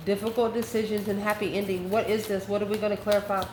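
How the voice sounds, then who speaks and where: A woman's voice: medium pitch, little pitch movement, fast talker. A woman giving a casual, spontaneous tarot reading, talking straight to the viewer in a conversational, exclamatory way. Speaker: tarot card reader. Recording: echoey room with faint background noise.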